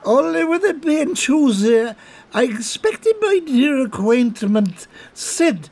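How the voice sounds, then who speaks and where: A tipsy rascal, as a man puts him on, squeaks and swoops way up high, drawling every word with boozy, cheeky charm. The voice sits a little back from the mic and sounds slightly husky. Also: big pitch swings, slow pace, darkish tone, full character voice. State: drunk. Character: drunk Irish petty crook, middle-aged man, cheeky and wheedling.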